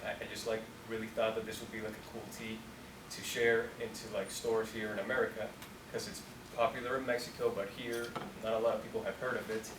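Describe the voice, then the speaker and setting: A man's voice: on the low side, fast talker. Young man rambling in an echoey room. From Colombia. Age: 20s. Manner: relaxed, rambling, heavy use of "like". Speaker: company founder speaking to students. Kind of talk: casual talk to an audience. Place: university room. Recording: echoey room, distant mic, noisy.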